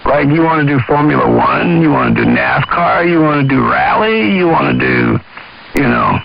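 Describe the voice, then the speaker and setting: A man's voice: medium pitch, normal speed, creaky, soft background music. An American man chatting casually and emphatically over two-way radio, using slang and swearing freely. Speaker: CB radio operator. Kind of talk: casual chat about video games. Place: on-air CB radio transmission. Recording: dull CB radio with hiss.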